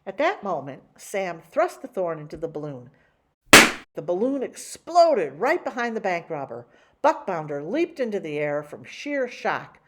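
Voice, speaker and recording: A woman reading a children's picture book aloud in an expressive storytelling way. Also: fairly low pitch, unhurried, a little hiss or hum. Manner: expressive, animated.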